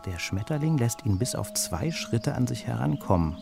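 A man reading a children's story as its narrator, with an expressive storytelling delivery. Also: mid-range voice, moderate pace, gravelly, over music.

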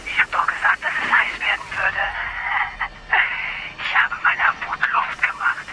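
Man playing a whispering, menacing stalker in heavy noise. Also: close to an everyday voice. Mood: menacing, silky. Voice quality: breathy whisper. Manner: slow, controlling, coaxing then commanding. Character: menacing, obsessive male stalker whispering threats by phone. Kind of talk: radio crime drama acting.

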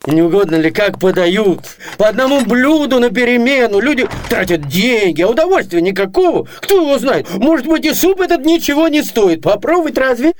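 A man's voice: extremely high pitch, big pitch swings, fairly quick. A man playing a gluttonous, scheming, cheeky young servant in a comic radio play, theatrically muttering and exclaiming to himself. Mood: sly, greedy.

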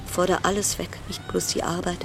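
An actress in a German radio play voicing a frayed, suspicious cruise ship passenger in a brooding monologue of broken-off, repeated phrases. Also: female voice, lowish voice, level tone, brisk, background noise, close to an everyday voice. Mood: brooding, resigned. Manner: fragmented, broken-off sentences, repeating words. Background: music.

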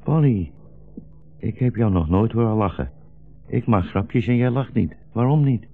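Deep creaky old man, weary wry rabbi, solemn, vintage radio drama. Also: animated, a little slow. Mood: sad, tender. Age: older. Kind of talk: 1960s radio play acting. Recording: dull 1960s radio recording, music behind.

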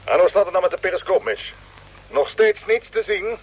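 A man playing a brisk, authoritative captain of a space fleet in a radio play, giving clipped orders. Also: sits high, steady tone, husky. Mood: concerned. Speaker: radio drama actor. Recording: noisy old radio drama recording.

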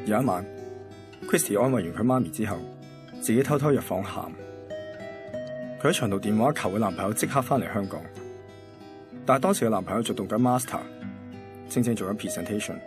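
An actor playing an easygoing, reflective young man from a radio drama, with a Hong Kong accent. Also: lowish voice. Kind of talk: radio drama dialogue and narration.

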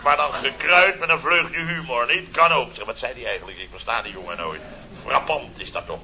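An actor playing an exasperated, grumbling company boss in a Dutch radio comedy serial from around 1970. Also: male voice, mid-range voice, a little fast, a crowd in the background, lightly acted. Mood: exasperated outburst. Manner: sarcastic, grumbling, exclamatory. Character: blustering, easily exasperated boss of a building firm. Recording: dull, hissy old radio recording.

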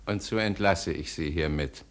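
A man plays a prison governor in a German radio drama. His low voice is measured and official, with a patronising edge. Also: natural voice. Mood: formal, official. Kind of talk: German radio play acting.

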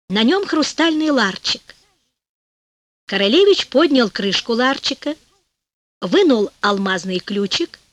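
Female audiobook narrator, high lively voice, slow storybook reading, dry studio sound. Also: dry recording. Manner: lively, voices the fairies and birds with raised pitch.

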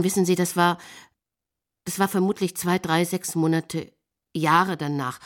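An actress playing a haunted woman confessing uncertain memories in an audio drama monologue, halting and intense. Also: mid-range voice, a little mellow, lightly acted. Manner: halting, intense, self-correcting.

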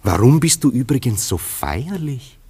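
An actor playing a worldly, amused bachelor friend in a German radio drama, wry and questioning. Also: male voice, mid-range voice, big pitch swings, unhurried, dark tone, slightly rough, heavily acted. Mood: wry amusement.

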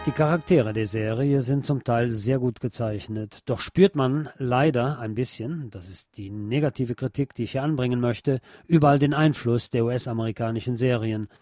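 A mature man presenting a spoken review on a radio show in a measured, deliberate manner, addressing listeners directly. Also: mid-range voice, warm tone, no room sound, studio quality, soft background music. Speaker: radio host and series reviewer.

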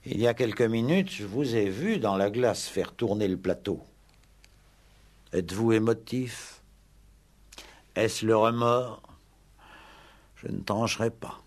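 An actor playing a calm, courteous and authoritative police commissioner in a vintage radio play, speaking in a measured, formal way. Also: male voice, fairly low pitch. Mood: composed, accusing. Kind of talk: 1960s French radio play acting. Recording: vintage radio drama recording.

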